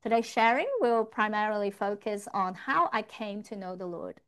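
A woman's voice: medium pitch, normal speed, a bit bright, clean tone. A woman interpreting a church testimony, rendering the speaker's words line by line in the first person. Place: church service.

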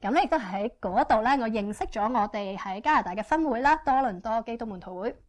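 A woman interprets a church testimony sentence by sentence, speaking quickly and fluently with frequent fillers. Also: on the high side, animated. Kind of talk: consecutive interpretation.

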